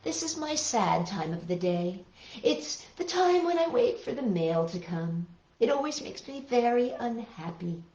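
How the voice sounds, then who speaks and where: A woman's voice: a little high, very animated, measured pace, dark tone. A woman voicing a glum, resigned toad from a storybook, mournful and grumbling. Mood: sad. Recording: roomy, dull lo-fi sound.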